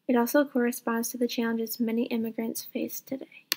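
A young woman reads a student book report aloud at a careful, steady pace. Her voice sits a little high yet stays flat and even. Its tone is soft and mellow. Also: brisk, vocal fry. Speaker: student presenter.

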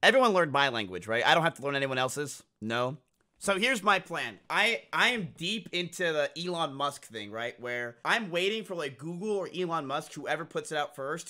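A man's voice: fairly high pitch, bright, forceful tone. A young man streaming from his room on a headset, reacting with crude, rambling commentary. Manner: crude, rambling, fast bursts. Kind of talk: casual livestream commentary.

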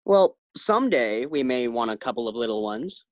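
A woman's voice: extremely low pitch, sing-song, moderate pace, dry recording. A woman playing a distracted, affable husband with hesitant delivery in an old-time radio sitcom. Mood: sheepish. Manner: hesitant, absent-minded. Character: distracted, affable husband reading his morning paper.

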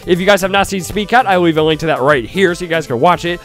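A young man with a cold and a stuffy voice, giving excited let's-play commentary on a game and shouting at it now and then. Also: sits high, very fast, soft background music. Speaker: gaming YouTuber.

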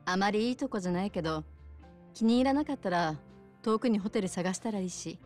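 An actress playing a kind, soft-spoken bar owner with a polite, considerate manner in a video game cutscene. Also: female voice, mid-range voice, animated, fairly quick, faint music behind, close to an everyday voice. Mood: helpful, friendly. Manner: polite, considerate, gently casual.

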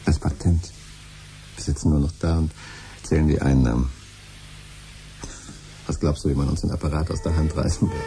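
Casting: ambitious dreamer husband, low dark voice, seventies radio play. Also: male voice, fairly even intonation, normal speed, long pauses, slight background noise, poor audio. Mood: dreamy, excited. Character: scheming, hopeful husband dreaming of patent riches. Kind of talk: 1970s German radio drama acting. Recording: 1970s radio play, faint music.

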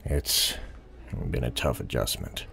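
An actor playing an earnest, guarded, weary police detective in an audio drama, dry and understated. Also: male voice, rumbling low, takes it slowly, gravelly, sharp tone, exaggerated voice. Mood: guarded, respectful. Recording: reverberant hall sound, music behind.

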